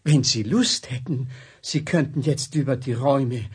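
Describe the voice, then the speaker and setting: An actor playing a countess's flustered, overly polite manservant, hesitant and stiffly formal, in a children's radio play. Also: male voice, medium pitch, unhurried, husky. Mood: flustered, indignant.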